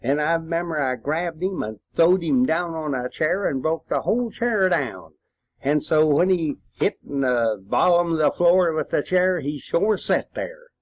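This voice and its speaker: A man's voice: fairly high pitch, expressive. An elderly man with a rural American accent, a war veteran reminiscing informally in a folksy, rambling way. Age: 80s or older. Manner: folksy, rambling storytelling with frequent throat-clearing pauses. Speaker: war veteran telling his memories. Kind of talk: informal oral-history reminiscence. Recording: dull, lo-fi home recording.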